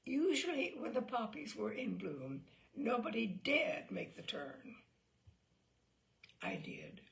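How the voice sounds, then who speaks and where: Woman reading a story out loud, super gravelly creak, really slow n theatrical, lots of pauses. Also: fairly high pitch, big pitch swings. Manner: theatrical, with deliberate pauses and emphatic phrasing. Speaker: reader of a story. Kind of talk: dramatic storytelling read aloud.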